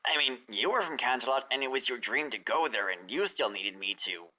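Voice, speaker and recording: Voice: mid-range voice, lively intonation, fairly quick, bright, forceful tone, rough recording. A reader voicing a cheeky, insecure young male assistant creature in a fan fiction story. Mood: uneasy. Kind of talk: fan fiction character reading.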